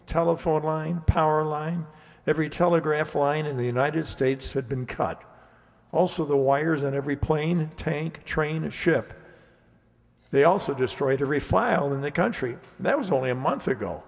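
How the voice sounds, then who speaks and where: A resigned, lonely security guard in a radio play played by a man. His voice sits a little high with plenty of gravelly creak, and he rambles folksily. The hall adds a long reverb. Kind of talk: amateur radio play acting.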